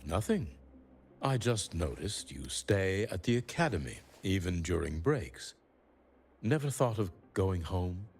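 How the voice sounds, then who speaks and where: An actor voicing a suspicious, needling professor in a video game, measured, insinuating and condescending. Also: male voice, deep voice, very animated, husky, faint music behind, full character voice. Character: suspicious, needling male academy professor, coldly probing.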